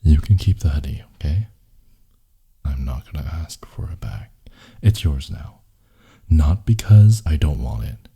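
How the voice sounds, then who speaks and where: A man playing a brooding, possessive, lovelorn boyfriend in an intimate ASMR roleplay, in a hushed, husky, gravelly voice that often slips into whispers. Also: very deep voice, heavily acted. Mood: wounded, tender. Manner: slow, hushed, intimate murmuring.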